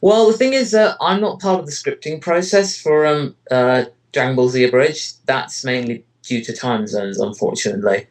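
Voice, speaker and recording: A man's voice: medium pitch, moderate pace, hardly any pauses, rough recording. A man answering interview questions in casual chat, rambling hesitantly with lots of filler phrases. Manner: hesitant, rambling delivery with frequent "you know" and "kind of". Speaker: interviewee, amateur voice actor and web parody creator.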